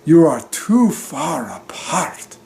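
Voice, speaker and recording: A man reading a storybook, voicing a blunt, mysterious female fortune teller with dramatic, drawn-out phrasing. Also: on the high side, sing-song, creaky, a little mellow, voice pushed up, exaggerated voice. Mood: ominous, dismissive.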